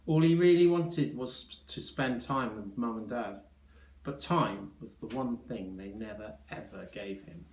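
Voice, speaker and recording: A man reading a children's story aloud as a teacher would, with expressive narration. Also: medium pitch, measured pace. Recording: echoey room.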